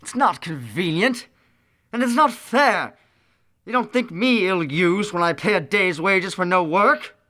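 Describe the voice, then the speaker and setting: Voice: mid-range voice, expressive, a little slow, bright, forceful tone. An actor playing a miserly, cold, scornful old man in an old-time radio play, curt and grumbling. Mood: grumpy, indignant.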